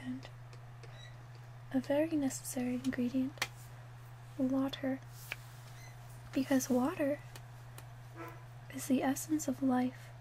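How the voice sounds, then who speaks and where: A woman softly half-whispering in an ASMR roleplay as a calm, helpful witch, with a gentle, deliberate delivery. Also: sits high, a little hiss or hum, low-quality recording. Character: calm, helpful female witch selling love potions.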